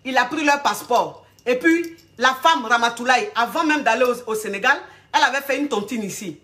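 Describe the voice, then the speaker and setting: A woman with a cold tells a long story straight to her viewers, repeating key phrases and checking that they follow. Her voice sits a bit high and sounds bright and forward. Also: a little fast. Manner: repetitive, emphatic, addresses viewers directly. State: has a cold. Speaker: video host telling a story.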